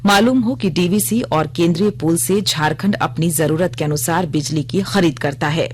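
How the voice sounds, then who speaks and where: A woman reading a scripted radio news bulletin in a formal, measured manner. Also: on the low side, a little hiss or hum.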